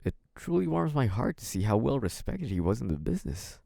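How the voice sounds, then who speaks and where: A man's voice: fairly low pitch, very animated, fairly quick, vocal fry, warm tone, no echo, clean studio sound, heavily acted. A man playing a young mafia heir in an audio roleplay, a cunning schemer who wears politeness as a mask. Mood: nervous, polite. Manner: hesitant and apologetic act, turning smug and taunting. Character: young mafia heir, feigned naive politeness hiding cunning, possessive menace. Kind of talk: dramatic first-person audio roleplay.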